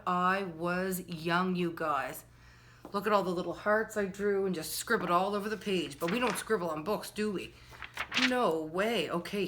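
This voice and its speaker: A woman hosting a children's storytime, reading a picture book aloud expressively and speaking directly to young listeners. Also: mid-range voice. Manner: slow, addresses children directly, storyteller phrasing. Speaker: children's storytime host and reader.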